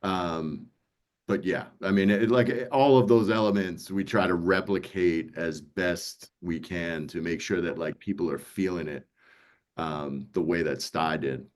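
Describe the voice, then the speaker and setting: Middle-aged male producer, deep voice, emphatic storyteller in casual panel talk. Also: a little slow. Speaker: audiobook producer.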